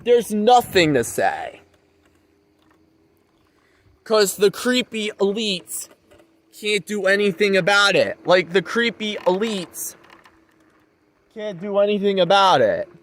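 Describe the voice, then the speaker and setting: Slow-talking, high-pitched man rambling to viewers. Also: expressive, fairly bright tone. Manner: repetitive, emphatic phrasing. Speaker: vlogger. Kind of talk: rambling informal monologue to viewers.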